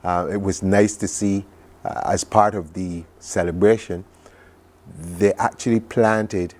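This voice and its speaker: Male interview guest, Barbadian accent, lower register, chatty and reflective with an enthusiastic storytelling manner. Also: steady tone, unhurried, a little mellow. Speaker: studio guest recounting a trip. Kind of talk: conversational television interview.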